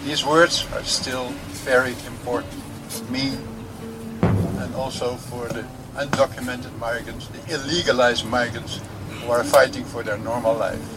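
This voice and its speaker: A man with a mid-pitched voice speaks forcefully, pushing hard on key phrases. The clip has clear music playing under his voice. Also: steady tone, a little slow, poor audio.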